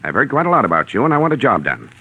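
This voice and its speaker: A man playing a driven, commanding gentleman with formal, forceful diction in 1940s radio drama. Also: mid-range voice, wildly swooping pitch, racing, creaky, husky, narrow, tinny audio, heavily acted. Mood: firm, persuasive.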